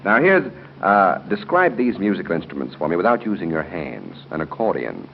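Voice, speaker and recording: Male, American, low voice, animated intonation, genial joking quizmaster, vintage 1930s radio, dull noisy recording. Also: normal speed, creaky. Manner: genial and joking, chuckles between questions. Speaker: quiz show host. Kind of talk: 1930s live radio audience quiz hosting, ad-libbed banter. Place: hotel room at a club luncheon.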